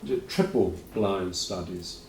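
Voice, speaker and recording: A man's voice: low voice, slow, a little mellow, a little hiss or hum. A man hosting a conference, talking informally in a rambling, self-correcting way with dry asides. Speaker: conference host. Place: lecture hall. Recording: roomy hall, voice from a distance.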